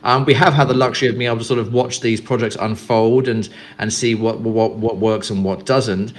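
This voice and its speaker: A man's voice: mid-range voice, steady tone, brisk. A man from England, interviewed as a guest, answering in a loose chat with many fillers and repeated words. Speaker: guest, company representative. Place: Greece.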